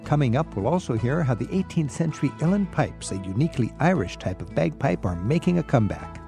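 A man hosting a travel radio show, curious and lively as he introduces and interviews guests. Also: mid-range voice, brisk, vocal fry, soft background music. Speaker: radio host.

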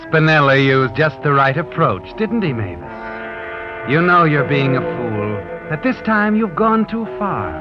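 Ominous radio mystery narrator, American, insinuating, dramatic rise and fall, music behind, dull lo-fi recording. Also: male voice, fairly high pitch, sing-song, unhurried. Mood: sardonic, ominous. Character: knowing, sardonic male mystery narrator needling the heroine. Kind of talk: dramatic second-person scripted narration, 1940s radio.

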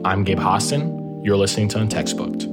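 Male podcast host, lowish voice, measured scripted narration, guitar music underneath. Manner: measured, reflective, rhetorical questions. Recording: music bed behind the voice.

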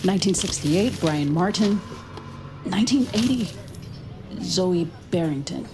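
A woman's voice: fairly low pitch, lively intonation, unhurried, noisy background. Shaken, guarded young woman. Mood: troubled. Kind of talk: naturalistic audio drama acting.

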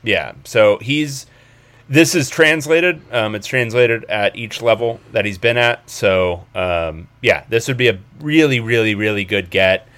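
A man with a fairly low voice chats casually about sports as a podcast co-host. He talks analytically, in a conversational way. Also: a little slow, fairly bright tone.

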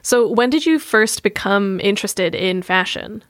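A woman interviewer asks her guest questions in an easy, encouraging way, with plenty of small agreeing sounds. Her voice sits in a middle pitch and is recorded close and dry, with no room sound. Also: expressive, unhurried, no echo. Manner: encouraging, laughs and backchannels. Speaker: host.

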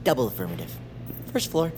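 A male actor plays an eccentric psychiatric inmate in an audio drama. His delivery is playful and theatrical, leaping up and down in pitch. There is clear background noise around the voice. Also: very animated, fairly quick, close to an everyday voice. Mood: playful, mock-official. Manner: theatrical, playful, rattling off odd metaphors. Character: eccentric, fast-talking male psychiatric patient, jokey and manic.

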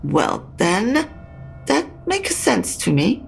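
An actress playing a frank, consoling older mother near death in an audio drama, speaking slowly and haltingly with a husky, creaky voice. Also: medium pitch, very animated, full character voice. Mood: calm, probing. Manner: slow, halting, probing. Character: dying mother, frank, consoling, stubbornly insistent.

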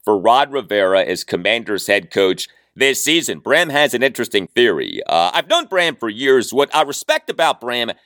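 A man's voice: mid-range voice, very animated, moderate pace, hardly any pauses, fairly bright tone, no room sound, studio quality. An American man hosting a sports podcast, delivering commentary emphatically and stretching out words for effect.

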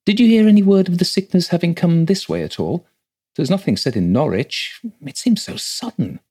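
A man's voice: lowish voice, normal speed, a little mellow, soft background music, natural voice. Country rector, played by a male reader: authoritative, educated, formal and businesslike, for a storybook ghost tale. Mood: startled. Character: brisk, educated, slightly impatient country rector.

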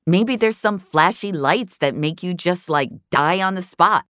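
A woman's voice: on the low side, expressive, unhurried, fairly bright tone, no echo, clean studio sound, pitched above the performer's own voice. A woman voicing a slangy, insistent young female friend who gossips and frets in an audio story.

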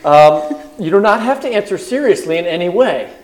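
Male co-host, live stage podcast, eager chatty delivery, slightly higher pitch. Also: expressive, normal speed, over a noisy crowd, poor audio. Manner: eager, chatty, laughs easily, runs a game. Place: stage at a live book festival event.

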